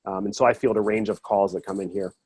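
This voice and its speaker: A man getting over a cold, giving a webinar presentation over a video call in a quick, informal way. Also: on the low side, fairly even intonation, a little mellow, husky, dry recording, studio quality. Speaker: education and outreach director.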